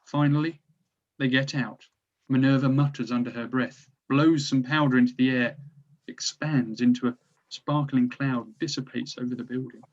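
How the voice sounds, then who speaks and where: A man's voice: medium pitch, steady tone, moderate pace. A man narrating scripted stage directions at a table read. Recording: slightly dull, lo-fi recording.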